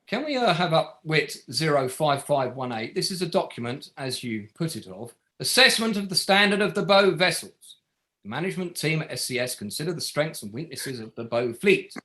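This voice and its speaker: A relentless barrister acted by a man presses a witness in a courtroom drama. His voice is high and sharply bright, swinging widely in pitch as each pointed, sardonic question lands. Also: sing-song, normal speed. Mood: methodical, accusing. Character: relentless barrister cross-examining a witness at an inquiry. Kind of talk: scripted courtroom drama table read.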